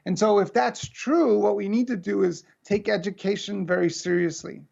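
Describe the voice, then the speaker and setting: A man's voice: high-pitched, steady tone, normal speed, gravelly, darkish tone. An American man giving an earnest, conversational keynote talk over a video call, with muffled, lo-fi call audio. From United States. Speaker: keynote speaker.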